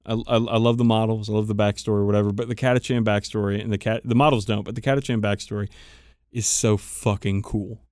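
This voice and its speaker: Man, podcast host, casual lore chat, excited and sweary, dry close sound. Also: lowish voice, fast talker, smooth, no room sound. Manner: enthusiastic, emphatic swearing. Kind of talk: casual, profane hobby chat explaining game lore.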